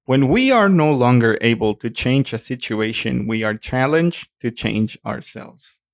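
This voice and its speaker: A man's voice: medium pitch, lively intonation, measured pace, dry recording, clean studio sound. A man with a Mexican accent co-hosting a podcast, talking casually with many fillers and hesitations. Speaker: podcast co-host. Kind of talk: casual chat.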